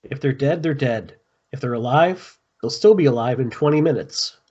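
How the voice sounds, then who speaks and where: Guy reading as gruff army squad boss, clipped orders, husky voice, dull lo-fi recording. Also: mid-range voice, normal speed, a little mellow, lightly acted. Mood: curt, commanding. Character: gruff male military squad commander, hard and pragmatic. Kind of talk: amateur screenplay table read.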